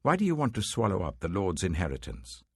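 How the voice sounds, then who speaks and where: A man's voice: low-pitched, wildly swooping pitch, fast talker, vocal fry, breathy edge, no room sound, clean studio sound, full character voice. A man voicing a wise woman of an ancient city in a scripture reading, earnest and reproachful. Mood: earnest pleading.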